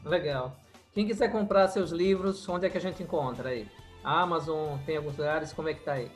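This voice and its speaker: A man's voice: on the high side, moderate pace, soft background music. A man with a Brazilian accent asking casual questions as a podcast interviewer.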